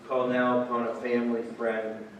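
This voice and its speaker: A man's voice: mid-range voice, level tone, a little fast. A man formally introducing the next speaker as officiant at a memorial service. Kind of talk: formal announcement. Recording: echoey, distant mic, faint noise.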